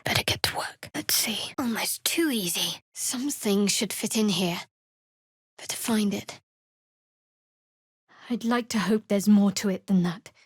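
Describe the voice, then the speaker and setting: An actress voicing a guarded, wry and devout young female cleric in a fantasy video game, with a cool, measured, dryly sardonic delivery. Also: medium pitch, no echo, clean studio sound, natural voice. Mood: quiet, focused, then guarded, solemn.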